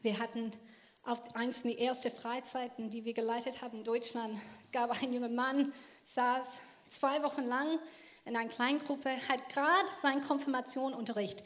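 High-voiced woman, earnest testimony. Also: steady tone, normal speed. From Australia. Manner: earnest and emphatic, in non-native German with loose grammar. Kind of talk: passionate personal testimony talk.

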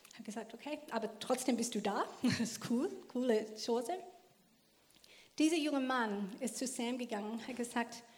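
High-voiced woman, earnest testimony. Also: normal speed. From Australia. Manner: earnest and emphatic, in non-native German with loose grammar. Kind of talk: passionate personal testimony talk.

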